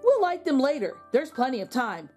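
Sly trickster man voiced by a woman, storybook hero, breezy, teasing, mock-innocent, animated swooping pitch, faint music. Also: moderate pace. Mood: cheerful, coaxing. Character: playful, sly man who outwits goblins. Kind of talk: children's storybook reading.

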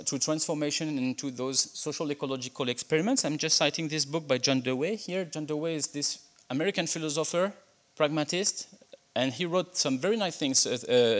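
A man's voice: fairly high pitch, a bit bright. A middle-aged man from France with a French accent, giving a conference keynote at a podium in a lecture hall, speaking hesitantly with many fillers and restarts. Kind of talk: conference talk with slides. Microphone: podium microphone.

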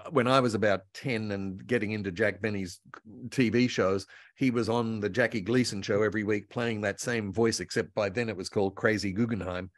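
An older man reminiscing casually as a guest, in a halting, meandering, enthusiastic way. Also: fairly low pitch, fairly even intonation, no room sound, studio quality. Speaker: guest voice actor and author. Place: indoor room at home, on a video call. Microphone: video call.

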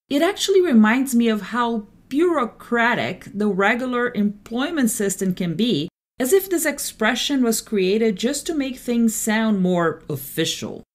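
A woman who speaks Portuguese natively, hosting a solo podcast and explaining things with careful enunciation. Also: fairly high pitch. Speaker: podcast host; translator.

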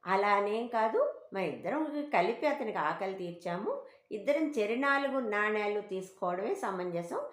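A woman's voice: medium pitch, animated, unhurried, close to an everyday voice. A woman voicing an argumentative male traveller insisting on his fair share in a children's moral story. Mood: insistent. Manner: argumentative, firm. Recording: roomy, echoing home recording.

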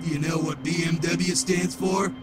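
A man's voice: fairly high pitch, level tone, normal speed, natural voice. A man playing a manic, mocking masked troublemaker in a comic-book drama, wisecracking and theatrical, with music under him. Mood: mocking menace. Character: manic green-headed masked male troublemaker, mocking and gleeful.

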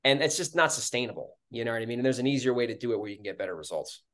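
Fast-talking young male podcast host. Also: medium pitch, lively intonation, a bit bright. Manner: upbeat, fast-talking, casual filler "like". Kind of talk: podcast interview over video call. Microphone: video call webcam.

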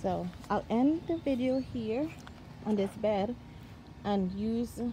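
A woman giving a casual walkthrough of her backyard garden, chatty and excitable and thinking aloud, recorded outdoors on a handheld phone. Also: a little high, slow, poor audio. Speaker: gardening vlogger. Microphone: handheld phone camera. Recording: outdoor ambience with noise.

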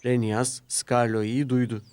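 A man narrating a scripted children's story in an expressive storytelling style. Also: mid-range voice, takes it slowly, clean tone, no echo. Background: orchestral music with brass.

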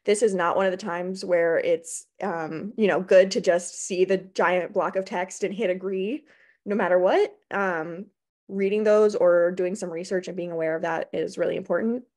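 A young American woman giving an informal, explanatory presentation as an academic librarian on a video call, pausing often with ums. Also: fairly low pitch, fairly even intonation, a little mellow. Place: small indoor room on a video call.

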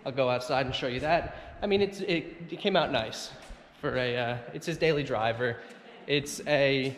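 A man in his car shop casually showing off the day's work, with loose, rambling talk full of fillers and restarts. His voice sits at an ordinary pitch, and the camera mic picks up faint noise behind him. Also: normal speed. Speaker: auto detailing and wrap shop owner. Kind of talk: casual shop vlog walkthrough. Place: car shop and lot, in and out of doors.

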